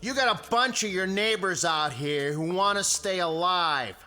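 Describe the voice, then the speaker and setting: A man's voice: high-pitched, a little slow, faint music behind, heavily acted. An American actor playing a belligerent, threatening suburban man leading a panicked mob in a radio drama. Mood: menacing. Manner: forceful, threatening.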